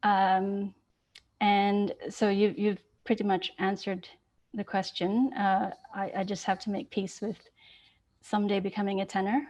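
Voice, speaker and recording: A woman's voice: medium pitch, flat delivery, a little slow, a little mellow, highs cut off. A woman who sings alto in a choir, politely asking a long, hesitant question in casual chat.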